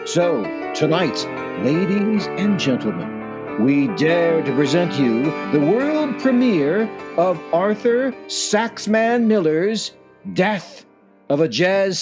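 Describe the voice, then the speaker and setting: A man's voice: fairly high pitch, big pitch swings, slow. A man giving a scripted comic introduction as an announcer, theatrical and mock-solemn. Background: loud plucked guitar music.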